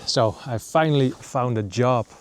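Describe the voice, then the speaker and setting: Hesitant Dutch man vlogging, smooth voice. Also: medium pitch. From Netherlands. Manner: halting, many ums and uhs. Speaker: nature vlogger. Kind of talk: casual vlog talk to camera. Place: outdoors in northern Sweden.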